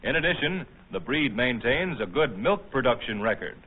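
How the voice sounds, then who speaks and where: An American man reading formal, measured, scripted narration in the manner of a 1950s documentary newsreel. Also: mid-range voice, sing-song, breathy edge. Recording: tinny band-limited old film soundtrack.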